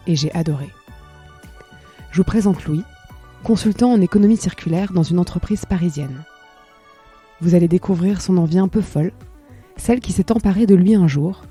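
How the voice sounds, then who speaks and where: Mellow low-voiced woman podcast host. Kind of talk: scripted narration and interview questions. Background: soft music.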